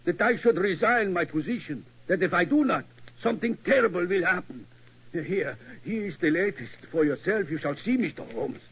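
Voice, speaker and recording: An older German tower keeper in a vintage radio play. His voice is fairly high and husky, with a German accent. He pleads haltingly and formally, anxious and deferential, on a muffled old recording. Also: animated, fairly bright tone, full character voice. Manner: halting, formal, pleading speech with foreign word order. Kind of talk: 1940s radio acting.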